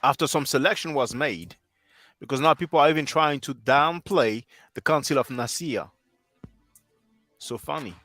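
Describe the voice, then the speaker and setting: A man's voice: mid-range voice, normal speed, pauses often, a bit bright, no echo, faint music behind. A man in his thirties whose first language is French, hosting a livestream and lecturing earnestly while answering chat on a headset mic. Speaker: livestream host.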